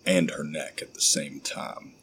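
A man hosting and narrating a horror audio story with deliberate, measured phrasing. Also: very deep voice, fairly even intonation, gravelly, fairly bright tone, a little hiss or hum. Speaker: podcast host and audio drama narrator.

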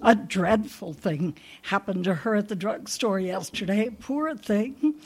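A woman's voice: medium pitch, measured pace, a little mellow, husky. A woman playing a sweet, absent-minded older landlady who rambles forgetfully in a radio comedy. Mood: scatterbrained.

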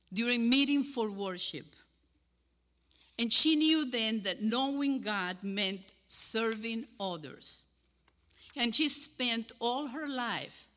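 An older woman from Cuba with a Cuban Spanish accent, giving an earnest sermon to a congregation and pausing between phrases. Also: on the high side, sharp tone. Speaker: guest speaker. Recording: hall with long reverb.

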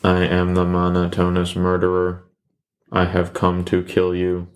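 A man voicing a deadpan, literal-minded killer who talks in a flat, robotic monotone. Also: deep voice, a little slow. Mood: flat menace.

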